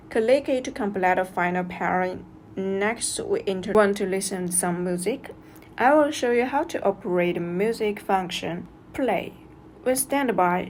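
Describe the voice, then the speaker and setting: A woman's voice: medium pitch, slight background noise. A woman reads a scripted product review as a narrator, going through instructions step by step.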